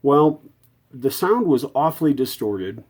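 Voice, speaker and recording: A man's voice: mid-range voice, expressive, unhurried, darkish tone, breathy edge. A man giving a casual, unscripted repair walkthrough in a folksy way, thinking aloud and correcting himself as he goes. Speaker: radio repair hobbyist and video host.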